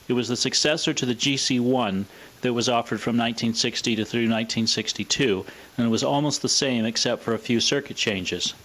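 A man presenting a scripted, explanatory product demonstration in a measured, matter-of-fact way. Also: medium pitch, a bit bright, low-quality recording. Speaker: presenter of a vintage radio showcase video.

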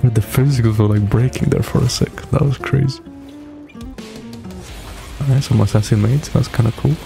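A man's voice: medium pitch, fairly even intonation, moderate pace, vocal fry. A young man giving casual, slangy reaction commentary as a streamer in a laid-back manner. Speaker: streamer reacting to game trailers. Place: small indoor room, streaming setup. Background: game trailer music.

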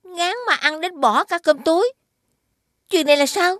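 A woman's voice: very high-pitched, normal speed, fairly bright tone, slight background noise. A woman voicing a caring, sensible mother in a children's cartoon, advising in a southern Vietnamese accent. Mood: questioning. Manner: questioning, advising.

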